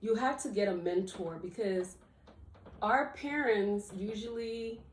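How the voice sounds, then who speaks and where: A woman from Liberia co-hosts a casual talk show in a lively, unscripted way. She laughs often and stumbles over her first words before a point lands. Her voice sits at a middle pitch, and the room around her sounds echoey. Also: unhurried, slight background noise. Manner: animated, laughs often, repeats words when starting sentences. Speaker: co-host. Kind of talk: casual chat.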